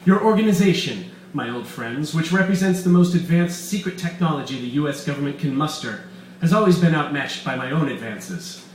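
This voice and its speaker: A man's voice: fairly high pitch, expressive, fairly quick, pitched above the performer's own voice, natural voice. A grandiose mad-scientist villain acted by a man reading a story aloud gloats over his schemes. He declaims in a pompous, theatrical way. The sound is distant and echoey, with faint noise in the room. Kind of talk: live short-story reading.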